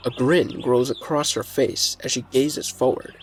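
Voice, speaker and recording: A man's voice: medium pitch, fairly even intonation, a little slow, slight background noise, poor audio. A man narrating a scripted fantasy story in the present tense as its narrator. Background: faint music.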